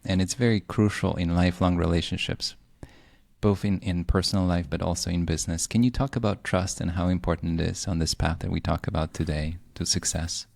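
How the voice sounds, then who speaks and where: A man from Poland, a native Polish speaker, hosting an interview podcast in a measured, thoughtful way. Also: low voice, vocal fry, a little mellow, dry recording. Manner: measured, thoughtful, frequent mm-hmm. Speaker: podcast host. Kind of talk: interview conversation.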